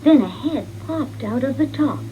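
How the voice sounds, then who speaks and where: A woman reading a children's storybook in an expressive, deliberate read-along manner. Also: high-pitched, muffled recording, noisy background. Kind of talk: scripted children's storybook narration.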